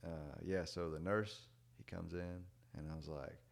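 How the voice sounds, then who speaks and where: A young man with a very low, deep voice talks in a loose, unhurried way. His sentences wander with fillers like "like" and "dude". He often fades out softly at the end of a thought. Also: gravelly. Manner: rambling, many "like" and "dude" fillers; relaxed, trails off quietly at ends of thoughts. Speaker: podcast guest. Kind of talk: casual storytelling chat.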